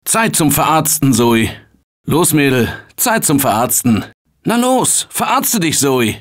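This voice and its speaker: A man voicing an upbeat office-worker survivor in a video game dub, shouting calls and encouragement to his teammates in an excitable manner. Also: fairly high pitch, a little slow. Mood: coaxing. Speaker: game character. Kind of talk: German-dubbed video game voice lines.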